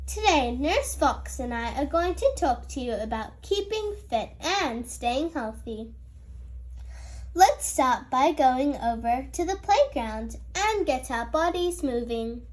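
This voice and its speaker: A friendly male bear doctor from a children's storybook, acted by a woman. He explains things in an encouraging, instructive way. The delivery is unhurried, with lively rises and falls. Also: high-pitched. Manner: instructive, kindly.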